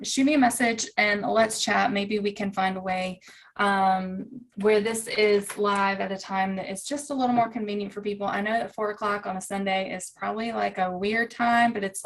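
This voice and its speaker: A woman with a strong Southern American accent talks in a mid-range voice. She hosts an online planning community and speaks to viewers like a coach among friends. Her delivery is informal, folksy and encouraging. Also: medium pitch. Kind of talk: casual chat to online viewers.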